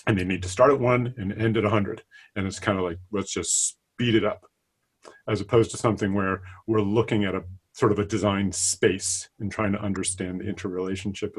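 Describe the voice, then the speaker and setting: Middle-aged guy on the low side, explaining stuff loosely as an interview guest. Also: husky. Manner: loose, hedging with sort of and kind of. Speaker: guest expert, product line manager. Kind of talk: casual explanatory interview talk.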